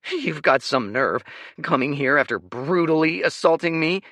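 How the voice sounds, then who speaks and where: A man's voice: expressive, moderate pace, a bit bright, no room sound. A man voicing a pompous, whiny young noble boy who yelps and snivels. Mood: indignant. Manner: shrill, yelping and sniveling.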